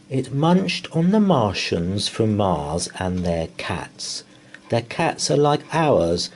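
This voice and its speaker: A man reading a children's bedtime story aloud in rhyme, with an expressive, theatrical delivery. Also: lowish voice, a little hiss or hum.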